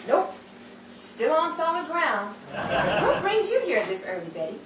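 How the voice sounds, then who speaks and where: A woman acts out a kindly, genial forties radio-play character. Her pitch is high and swings freely. The recording is noisy and distant, in an echoey room. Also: sing-song, unhurried. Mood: genial, teasing. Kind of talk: 1940s-style radio play acting.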